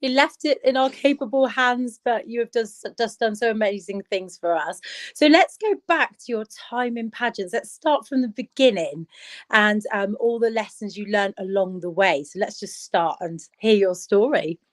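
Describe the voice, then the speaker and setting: A woman hosting an interview show, effusive and encouraging, her voice hoarse from a sore throat. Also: medium pitch, moderate pace. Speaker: host.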